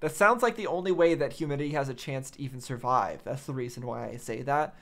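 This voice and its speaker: A man with a mid-pitched voice gives casual reaction commentary. He thinks aloud as host and leans on repeated phrases to stress his point. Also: fast.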